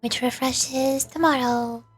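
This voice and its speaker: A woman's voice: high-pitched, fairly even intonation, slow pace, slightly rough, faint music behind. A woman livestreaming a video game, commenting casually and thinking aloud as she plays. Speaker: streamer, VTuber.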